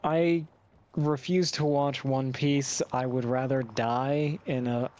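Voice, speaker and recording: A man's voice: mid-range voice, fairly even intonation, takes it slowly, darkish tone. A young man streaming a game, chatting casually and rambling with hesitant restarts and self-deprecating remarks. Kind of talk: casual gaming stream chat.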